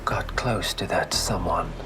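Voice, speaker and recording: A man playing a suave, calmly menacing middle-aged leader of a secret organization in a video game cutscene, measured and condescending. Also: low voice, in a whisper, over loud music. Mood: smooth, controlled. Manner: measured, condescending, polite understatement.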